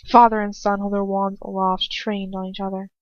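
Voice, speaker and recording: An amateur woman reader narrates fiction expressively with a medium-pitched voice. Her delivery is informal and self-correcting, with casual, jokey asides. The sound is dry and slightly dull. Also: little pitch movement, moderate pace, no echo.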